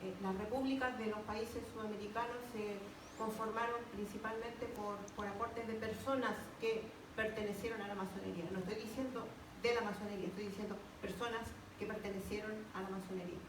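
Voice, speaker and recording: A woman's voice: mid-range voice, steady tone, brisk. A woman with a Chilean Spanish accent giving an unscripted personal testimony at a public talk, often repeating words for emphasis. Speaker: panel speaker, lodge member. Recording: echoey, noisy room, distant microphone.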